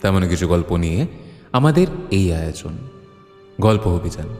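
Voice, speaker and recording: A man's voice: on the low side, darkish tone. A man hosting and narrating a horror audio story in a dramatic scripted style. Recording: long reverb, music underneath. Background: music.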